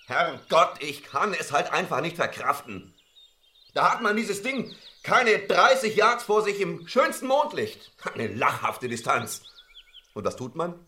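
An actor playing a dapper, excitable British gentleman monster hunter in a radio play, pompous and mannered. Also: a little high, unhurried, full character voice. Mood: exasperated.

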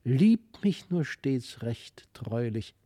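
A gentle, radiant fairy child from a storybook, acted by a man. The voice is mellow and tender, consoling slowly and solemnly, recorded very dry. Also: mid-range voice, big pitch swings, no room sound, full character voice.

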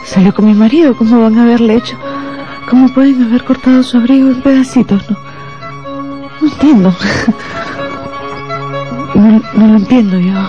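An actress playing a guarded, anxious wife in a radio drama, hesitant and strained, in slightly dull audio. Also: female voice, on the high side, normal speed, long pauses, natural voice. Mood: shaken, confused. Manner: hesitant, repeating words under strain. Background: faint music.

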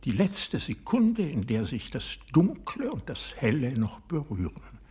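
An actor playing a bitter, proud old aristocratic man in a radio drama, speaking in a husky, breathy voice that often sinks toward a whisper, deliberate and accusing. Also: medium pitch, huge pitch swings, dark tone, heavily acted. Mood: intense, rapt. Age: older. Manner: deliberate, halting, intense, accusing. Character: bitter, proud old aristocratic man, former hunter, brooding over betrayal. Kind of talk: radio drama monologue acting.

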